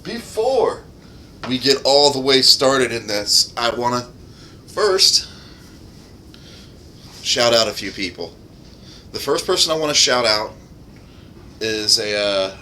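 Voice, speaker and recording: A man talking casually as a game streamer, in an informal, halting way, often stopping to rephrase. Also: mid-range voice, animated, pushed and bright, a little hiss or hum.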